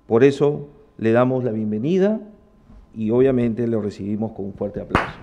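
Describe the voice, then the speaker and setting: A man's voice: medium pitch, moderate pace, noisy background. A man moderating a technical talk, reading out a speaker's background with occasional personal asides. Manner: reads a bio aloud with small asides. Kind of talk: introducing a speaker.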